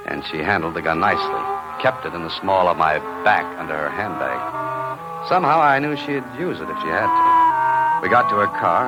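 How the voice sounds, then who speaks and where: A very deep-voiced investigator from a vintage radio mystery. He is cool and slyly playful, with animated inflection and clipped phrasing. Music runs behind the old recording. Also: poor audio. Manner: dry, playfully sly, clipped. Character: cool wry male investigator narrating his own adventure. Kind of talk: 1950s radio mystery acting with first-person narration.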